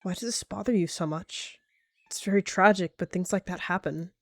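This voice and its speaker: A woman's voice: fairly low pitch, moderate pace, dry recording, clean studio sound. A woman voicing a composed, pragmatic and dismissive cat clan leader in a dramatic animal roleplay.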